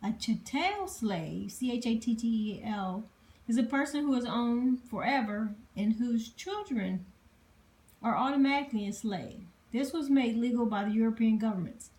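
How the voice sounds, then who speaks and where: A woman presenting history informally, reading from notes in a halting way and spelling out difficult words. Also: on the high side, a little mellow, rough recording. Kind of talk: informal lecture reading dated notes aloud.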